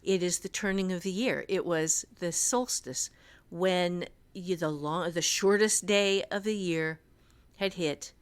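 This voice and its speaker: Podcast co-host: woman with a lowish voice, explanatory and deliberate in casual conversation. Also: clean tone. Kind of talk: casual discussion of fiction writing.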